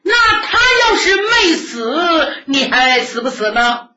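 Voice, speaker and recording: A woman doing a scoffing, shouting man. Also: high-pitched, very animated, slow pace, echoey room, pitched above the performer's own voice, full character voice. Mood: scornful. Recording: dull lo-fi recording.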